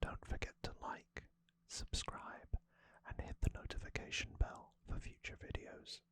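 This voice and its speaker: Whispering ASMR host, adult, very slow and soft, close-miked, with hesitant pauses. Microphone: close-up microphone.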